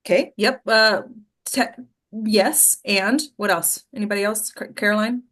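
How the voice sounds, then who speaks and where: A woman leads a group discussion as host and acting coach. She teaches in a casual, conversational way and keeps checking in with quick tag questions. Her pitch sits in the middle range for a woman. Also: fairly even intonation, a bit bright.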